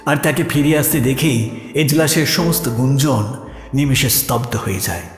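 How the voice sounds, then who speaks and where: A man's voice: medium pitch, normal speed. A man narrating a suspense story in a grave, dramatic manner. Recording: echoey, long reverb. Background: soft music.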